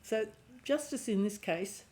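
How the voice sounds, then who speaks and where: A woman with a croaky voice and a lingering cough giving an intuitive tarot reading, thinking aloud and often restarting her phrases. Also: mid-range voice, expressive, unhurried, dark tone. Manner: musing aloud, restarting phrases, clearing throat. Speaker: tarot reader.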